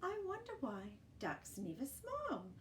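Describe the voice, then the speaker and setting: A woman voicing a cheerful, curious little male pig in a children's story, excitable and childlike. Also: sits high, a little slow, reverberant, slight background noise, voice pushed up, exaggerated voice. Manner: excitable, wondering, exclaiming.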